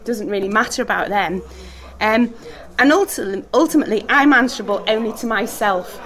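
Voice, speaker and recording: A woman's voice: a little high, normal speed, fairly bright tone, poor audio. A woman teaching a church group in a casual, chatty way, laughing often and putting questions to the room over background noise. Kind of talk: talk with group discussion.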